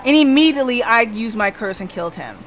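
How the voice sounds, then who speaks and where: A woman's voice: a little high, expressive, fast talker, fairly bright tone, a little hiss or hum, rough recording. A woman livestreamer casually recapping a game, thinking aloud and checking in with listeners, with music behind her. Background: background music.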